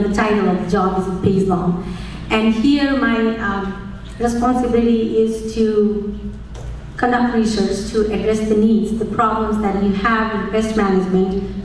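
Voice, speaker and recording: A woman, giving a measured conference talk as an entomologist, often pausing with "um". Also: medium pitch, a little mellow. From Nepal. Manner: measured, many "um" pauses, friendly and explanatory. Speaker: extension entomologist speaking at a conference. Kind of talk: conference presentation. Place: large conference hall. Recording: echoey hall, voice from far off mic, faint noise.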